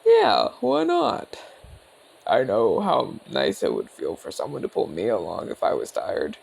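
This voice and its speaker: Man voicing a gravelly, genial orc ex-general. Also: on the low side, wildly swooping pitch, darkish tone, full character voice. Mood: friendly, curious. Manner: courteous, measured, chatty. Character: genial retired orc general, male border commander, calm, inquisitive. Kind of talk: ASMR audio roleplay, speaking to the listener.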